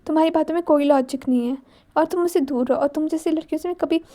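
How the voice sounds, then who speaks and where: Woman playing a cold irritable young man, curt scolding, mellow. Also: high voice, fast talker, natural voice. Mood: angry.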